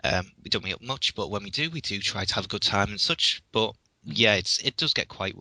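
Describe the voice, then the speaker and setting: Hesitant Liverpool man, bright and dry. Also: lowish voice, expressive, fairly quick, no room sound, low-quality recording. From England. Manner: hesitant, restarts often, dry humor. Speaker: podcast guest. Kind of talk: casual chat.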